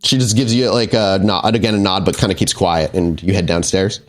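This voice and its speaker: A man's voice: low voice, fairly even intonation, fairly quick, faint music behind. A man narrating a tabletop horror game as game master, improvising wordy scene descriptions with casual asides.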